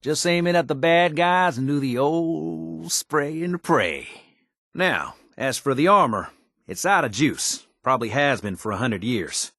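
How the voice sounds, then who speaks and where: An actor playing a folksy, wisecracking American tinkerer in a video game, talking in casual slang. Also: male voice, fairly high pitch, lively intonation, a little slow, gravelly, a bit bright, no room sound, clean studio sound, heavily acted. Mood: eager, persuasive. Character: folksy, wisecracking male tinkerer and scrappy settler ally.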